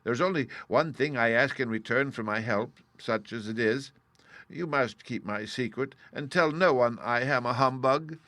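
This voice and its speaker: A man's voice: medium pitch, moderate pace, no echo. Man playing a sheepish humbug wizard. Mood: confessing. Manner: gentle, confiding, a little sheepish. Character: humble old male humbug wizard, apologetic.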